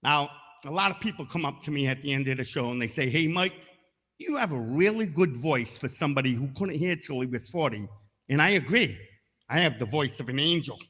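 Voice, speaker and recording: High, bright-voiced middle-aged male comic. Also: brisk, big-room sound. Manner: punchy, deadpan asides, bursts into loud shouted singing. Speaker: stand-up comedian. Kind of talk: stand-up comedy set. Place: comedy stage.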